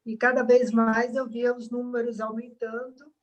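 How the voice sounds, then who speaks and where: Earnest dermatologist woman, fairly high voice, reflective pauses on a call. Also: level tone, lo-fi sound. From Salvador, Bahia, Brazil. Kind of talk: speaking on a video call. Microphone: video call.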